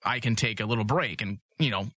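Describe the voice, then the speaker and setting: A man hosts a casual comedy podcast in a bright, forceful voice, recorded close and dry with faint music underneath. He jokes and mocks himself. His voice sounds strained, and he keeps clearing his throat. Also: lowish voice, fast talker, dry recording. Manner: joking, self-mocking about his failing throat. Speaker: podcast host.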